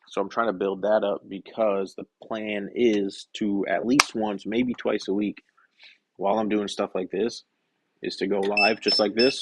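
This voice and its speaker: A young man chatting casually on a work-shop live stream, rambling and correcting himself, his voice hoarse and scratchy from a cough. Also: on the low side. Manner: rambling, self-correcting, soft-spoken asides. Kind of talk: casual live-stream chat while working.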